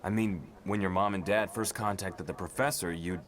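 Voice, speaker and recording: Male actor playing calm superhero teammate, lowish voice, supportive, motion comic delivery. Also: moderate pace, natural voice. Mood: concerned.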